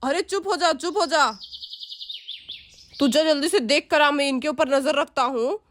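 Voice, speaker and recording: एक महिला एक बंदूकधारी पुरुष डाकू की आवाज़ निकालती है, भारी और धमकी भरे, चिढ़ाने वाले अंदाज़ में।. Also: female voice, sits high, unhurried, slight background noise. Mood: menacing. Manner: gruff, threatening, mocking. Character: menacing male dacoit kidnapper with a gun.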